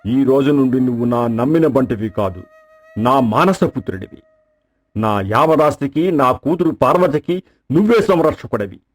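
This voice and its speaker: A man's voice: medium pitch, animated, normal speed, soft background music, close to an everyday voice. An actor playing a dying, wealthy old landlord in a folk tale, solemn, grateful and fatherly. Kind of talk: dramatised folk tale storytelling.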